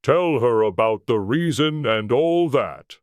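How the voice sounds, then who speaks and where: A man's voice: mid-range voice, expressive, takes it slowly, no room sound. A man voicing a mournful, thoughtful and easily offended turtle creature in a storybook reading. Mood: weary. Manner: ponderous, thoughtful, huffy.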